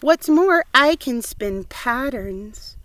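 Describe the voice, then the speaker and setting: A woman's voice: high-pitched, expressive, slow, a bit bright, dry recording, voice pushed up, heavily acted. A woman doing a prim, proud lady spider.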